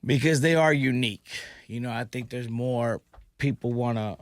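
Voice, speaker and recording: Man host, relaxed affirming chat, mid voice, dry close sound. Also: mid-range voice, normal speed, dry recording. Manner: easygoing, affirming. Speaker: interview host. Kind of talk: casual interview chat.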